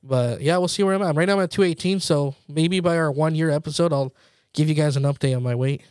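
A man's voice: fairly high pitch, quick pace, a little mellow, clean tone, dry recording, clean studio sound. A man in his late twenties chatting casually as a podcast co-host, informal, slangy and self-deprecating. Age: late 20s. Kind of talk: casual chat between friends.

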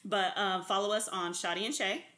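A woman's voice: medium pitch, steady tone, normal speed, bright, forceful tone. Dry-humored young woman co-host. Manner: dry teasing asides. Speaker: podcast co-host. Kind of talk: casual chat.

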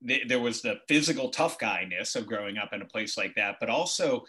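An American man in his forties, an interview guest, telling personal stories in a casual, animated way and acting out bits of dialogue. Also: fairly low pitch, fairly quick. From Boston, United States. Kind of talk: casual conversational storytelling.

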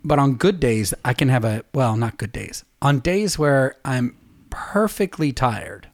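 A middle-aged man talking casually as a podcast co-host, chatty and quick to laugh as he wanders into stories. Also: mid-range voice, lively intonation, no echo, studio quality. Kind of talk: casual chat.

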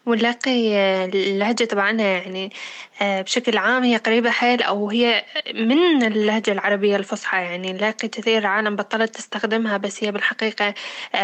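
A woman's voice: mid-range voice, fairly bright tone. A woman co-hosting a podcast, speaking earnestly and reflectively with a Deir ez-Zor Euphrates Arabic accent. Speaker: podcast co-host. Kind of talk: casual chat. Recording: slightly dull lo-fi audio.

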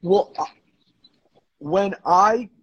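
A man's voice: sits high, extremely slow, fairly bright tone, dull audio. An American man co-hosting a podcast in casual, bantering, profanity-laced chat. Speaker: podcast host.

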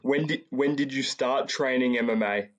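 A man's voice: mid-range voice, moderate pace, muffled recording. Male interviewer, medium voice, laid-back and slangy. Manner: relaxed, slangy, says "bro". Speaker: podcast host. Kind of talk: casual interview questions.

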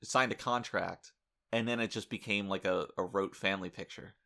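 A young man co-hosts a casual podcast with a bright, pushing tone and a voice that sits a touch low. His humor is dry and deadpan, and he often stops and restarts mid-sentence. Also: moderate pace, vocal fry. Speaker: podcast co-host. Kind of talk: casual comedic chat.

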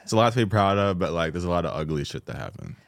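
A man's voice: deep voice, big pitch swings, racing, creaky, dry recording. An American man in casual podcast chat, arguing his points in a wry, rambling way. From United States. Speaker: podcast co-host. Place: podcast studio.

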